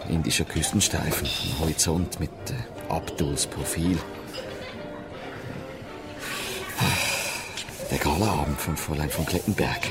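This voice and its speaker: An actor playing a worldly, carefree painter on a world voyage, urbane and bantering in a radio drama. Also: male voice, extremely low pitch. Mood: showing off pictures. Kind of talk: German radio drama dialogue. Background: music.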